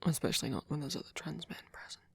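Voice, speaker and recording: A man playing a tender, fretful vampire boyfriend in an ASMR roleplay, speaking softly and hesitantly, often close to a whisper. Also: fairly high pitch, very fast, no room sound. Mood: defensive, earnest. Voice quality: breathy, often near whisper. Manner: soft-spoken, hesitant, stammering, tender. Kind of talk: ASMR roleplay audio drama.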